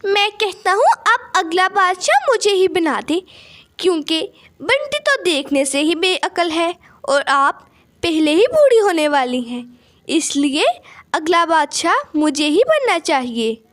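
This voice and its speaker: An ambitious male cartoon parrot, voiced by a woman, boastful and blunt. The voice is very high and lifted, with unhurried, drawn-out delivery. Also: very animated, fairly bright tone, exaggerated voice. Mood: boastful, pushy.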